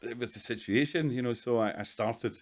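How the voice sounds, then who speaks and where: A Scottish man in his fifties, a hairdresser guest in a casual interview, talking in a dry, self-deprecating, measured way. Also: medium pitch. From Scotland. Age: 50s.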